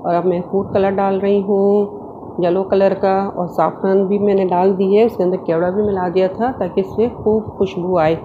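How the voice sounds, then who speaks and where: A woman's voice: medium pitch, fairly even intonation, normal speed. Woman narrating a recipe, muffled noisy recording. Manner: repetitive, explains each action as she does it. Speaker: home cook hosting a recipe video. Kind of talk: step-by-step cooking narration. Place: indoor home kitchen. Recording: dull lo-fi phone-like home recording, clear background noise.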